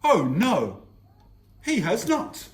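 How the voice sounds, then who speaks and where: A theatrical storybook king acted by a man ponders aloud in rhyme. His speech is very slow and swings widely in pitch. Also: wildly swooping pitch, a little hiss or hum, full character voice. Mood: restless pondering. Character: pondering, dramatic male king of ancient Persia.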